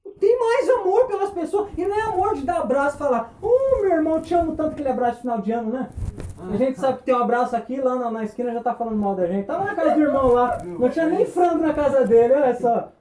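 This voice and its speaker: A man preaching a sermon, impassioned, firing rhetorical questions and dropping between loud and hushed. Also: very high voice, expressive, fast, reverberant. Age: 20s.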